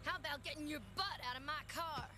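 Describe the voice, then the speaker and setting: An actress playing a tough, sassy young superheroine in an animated series, with a brash drawl. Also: female voice, high-pitched, fast talker, close to an everyday voice. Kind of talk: 1990s animated superhero cartoon acting. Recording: loud music behind cartoon dialogue.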